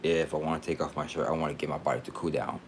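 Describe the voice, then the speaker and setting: Very deep-voiced young man rambling casually. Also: flat delivery, very fast, slight background noise. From Texas, United States. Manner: rambling, repetitive, slangy. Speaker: livestreamer. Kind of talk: casual livestream chat.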